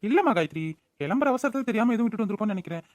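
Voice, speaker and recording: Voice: mid-range voice, expressive, rapid-fire, dry recording, clean studio sound, natural voice. An actor playing an earnest, put-upon grown elder brother in a cartoon, pleading and reproachful. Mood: placating. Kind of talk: Tamil cartoon voice-over acting.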